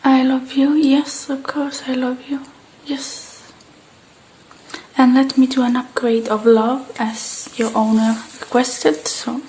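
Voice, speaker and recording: A woman speaking softly and gently in a breathy, partly whispered ASMR roleplay, murmuring to the listener. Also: high voice, little pitch movement, slow pace, poor audio. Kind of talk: soft-spoken ASMR roleplay as a technician tending a robot.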